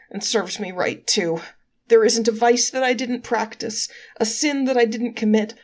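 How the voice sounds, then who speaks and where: A woman voicing a male sinner in a folktale, wailing his confession loudly and melodramatically. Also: on the high side, pitched above the performer's own voice, natural voice.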